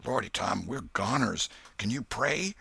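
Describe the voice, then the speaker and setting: A man voicing a superstitious, fearful young country boy with a hushed, shivery, folksy rustic dialect in a storybook. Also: fairly low pitch, lively intonation, a little slow, vocal fry, breathy edge, no room sound, voice pushed up, full character voice. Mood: dread.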